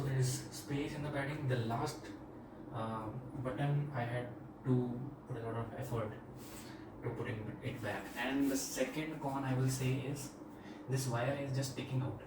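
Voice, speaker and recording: Flat-toned Indian man in an echoey room. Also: mid-range voice, normal speed. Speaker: vlogger reviewing a product. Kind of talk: casual product demonstration and review. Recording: echoey room, distant mic, clear background noise.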